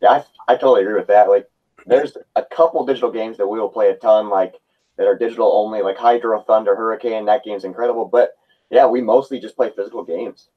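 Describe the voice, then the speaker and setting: A man's voice: lowish voice, quick pace, narrow, tinny audio. A man in his twenties talking casually and nostalgically as a guest in a video-call discussion. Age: 20s. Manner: nostalgic, relaxed.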